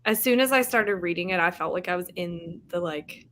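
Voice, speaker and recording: Female livestream host. Bright, forward voice, animated and giggly, informal chat among friends. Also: fairly low pitch, brisk. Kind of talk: casual group chat.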